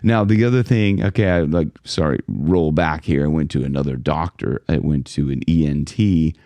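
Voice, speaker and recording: A man with a very deep, gravelly voice and a dark, mellow tone. He talks casually and loosely, circling back and restarting his sentences, recorded in a dry space. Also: moderate pace, dry recording. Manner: rambling, conversational, many restarts. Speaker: vocal coach. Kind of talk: casual talk to camera.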